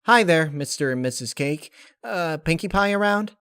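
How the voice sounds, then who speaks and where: A man's voice: fairly high pitch, animated, moderate pace, a bit bright, clear, resonant tone, no echo, studio quality, pitched above the performer's own voice. A man voicing a brash, impulsive young female pegasus pony in a story reading, casual and direct. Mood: hurried, upbeat.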